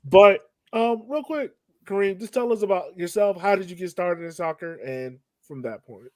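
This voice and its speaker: A man's voice: high-pitched, animated, moderate pace, a little mellow. A man hosting a sports podcast in a casual, chatty style. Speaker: podcast host.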